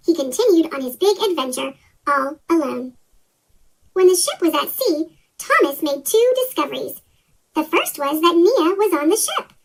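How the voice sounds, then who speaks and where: A woman reading a children's story aloud as scripted narration, her voice sped up with a chipmunk pitch-shift effect. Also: very animated, normal speed, poor audio.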